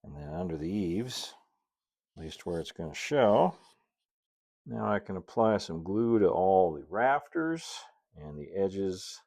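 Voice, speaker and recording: A middle-aged man with a very low voice hosts a craft tutorial. He talks through each step in an easygoing, folksy manner, dropping in chatty asides as he goes. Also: big pitch swings, a little slow, a little mellow. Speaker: model railroad builder and video host. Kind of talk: casual hobby tutorial narration.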